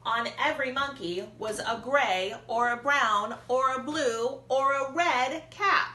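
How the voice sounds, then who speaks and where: A woman preschool teacher reading a storybook aloud to young children, expressive and deliberate in her emphasis. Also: high voice. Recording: echoey room with faint noise.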